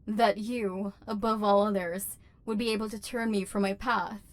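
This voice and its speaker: A woman's voice: mid-range voice, fairly even intonation, moderate pace, no echo, close to an everyday voice. A woman voicing an embittered, lonely robot overlord in an ASMR roleplay, with formal, precise diction and hurt tenderness underneath. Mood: cold, guarded. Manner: formal, precise, stilted diction with wounded feeling. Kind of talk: scripted ASMR roleplay acting.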